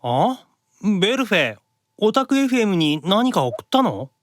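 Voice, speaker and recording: A man playing an anxious, excitable otaku demon brother in an anime audio drama, dithering theatrically. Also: sits high, measured pace, background noise. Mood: awkward, curious. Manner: dithering inner monologue with theatrical outbursts.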